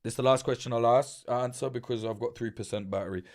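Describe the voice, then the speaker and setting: A man's voice: mid-range voice, steady tone, fast talker. A man hosting a casual livestream and answering viewer questions, talking frankly, swearing freely and rambling with restarts, into a desk mic at his laptop. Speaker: livestream host giving journalism advice. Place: indoors at a laptop with webcam. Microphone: desk mic through a mixer.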